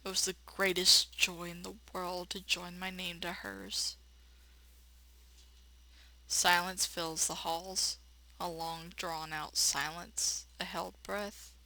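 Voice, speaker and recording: Bright-voiced woman reading a horror game. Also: fairly low pitch, takes it slowly, poor audio. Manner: expressive narration broken by blunt sassy asides. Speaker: let's player. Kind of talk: reading a visual novel aloud with playful running commentary.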